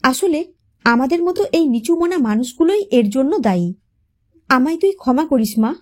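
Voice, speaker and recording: Audio storybook role: softened older mother-in-law played by a female reader, motherly, apologetic, high-pitched with emotional swings. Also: animated, moderate pace. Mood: remorseful.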